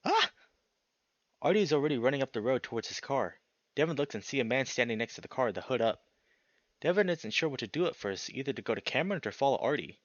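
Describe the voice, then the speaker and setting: A man narrating a visual novel aloud as a let's player, dramatic and expressive, swearing freely in casual reactions. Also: medium pitch, fast talker, dry recording, highs cut off. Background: music.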